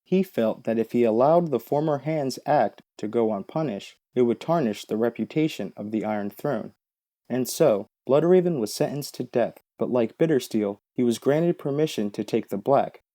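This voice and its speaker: A man's voice: medium pitch, expressive, moderate pace, dark tone. A man hosting a video with scripted explainer narration. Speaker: video host.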